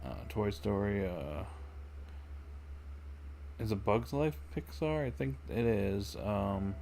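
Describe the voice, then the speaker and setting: A man's voice: fairly low pitch, animated, slow, creaky, darkish tone, a little hiss or hum. A man chatting casually with viewers as he streams a game, often thinking aloud. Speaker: livestream gamer. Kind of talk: casual chat with viewers during gameplay. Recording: game music behind the voice.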